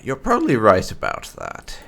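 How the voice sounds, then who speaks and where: A man hosting a game playthrough, musing deliberately as he roleplays and reads out his character's dialogue choices. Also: lowish voice, huge pitch swings, creaky, a bit bright. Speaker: gaming channel host, player.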